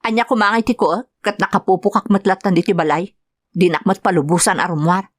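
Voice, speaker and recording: A woman's voice: on the low side, steady tone, a little fast, close to an everyday voice. A woman with an Ilocano Filipino accent playing a defiant, distressed captive in an audio drama. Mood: indignant. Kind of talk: amateur radio-style drama acting.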